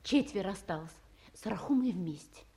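An actress playing an excitable, naive young secretary in a 1950s Soviet radio drama, with a breathless, girlish manner. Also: mid-range voice, moderate pace, close to an everyday voice. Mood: plain, obedient.

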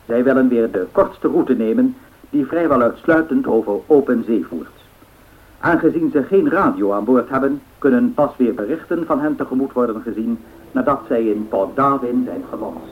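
Tinny 1930s radio newsreader. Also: male voice, medium pitch, expressive. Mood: formal, factual. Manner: formal, measured. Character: formal, factual male 1930s radio newsreader. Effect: old radio filter. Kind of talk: 1930s news bulletin in radio drama. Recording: tinny narrow-band radio sound.

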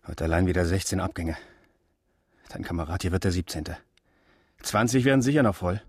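An actor playing a callous, brisk male army hospital orderly in a radio drama, matter-of-fact and unfeeling. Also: sits low, animated, breathy edge, no echo, clean studio sound. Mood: callous, businesslike. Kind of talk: German radio drama acting.

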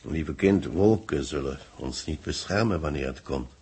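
An actor playing a grave, formal newspaper columnist dictating a report in a 1960s radio drama, with a husky voice. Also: male voice, a bass voice, darkish tone, heavily acted. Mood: grave, pensive. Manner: formal, measured, solemn phrasing.

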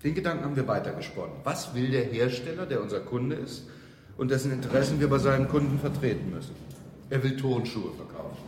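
A man's voice: mid-range voice, expressive, quick pace, darkish tone, slight background noise, lightly acted. An actor playing a glib, self-assured advertising man who explains matter-of-factly and asks rhetorical questions in a radio play. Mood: eager, calculating. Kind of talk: German radio play, conversational monologue acting.

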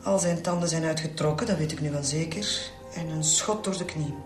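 Radio drama: actress as brisk Flemish female pathologist, blunt and clinical, lowish pitch, music underneath. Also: roomy sound, close to an everyday voice. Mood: dry, clinical. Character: matter-of-fact female police pathologist, brisk and dry.

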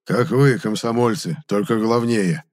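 A man's voice: fairly low pitch, measured pace, a little mellow, lightly acted. A man voicing a cranky, forgetful old village grandfather who rambles in folksy rustic speech, in an audiobook story. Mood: slow, testy.

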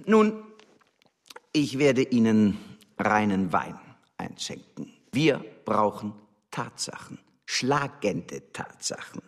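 A man's voice: on the low side, very animated, takes it slowly, exaggerated voice. An actor playing a contemptuous, condescending embassy official who scolds his agent with sarcasm in a radio drama. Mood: sarcastic, lecturing.

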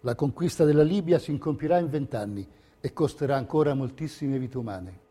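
Mellow male stage narrator. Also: medium pitch, a little fast, breathy edge. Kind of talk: scripted theatrical narration.

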